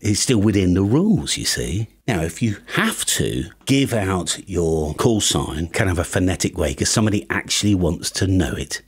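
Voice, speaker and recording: A British man in his fifties hosting a tongue-in-cheek tutorial to camera in an animated, mock-serious way. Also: low-pitched, normal speed, hardly any pauses, breathy edge. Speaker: video host. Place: small home studio with acoustic panels.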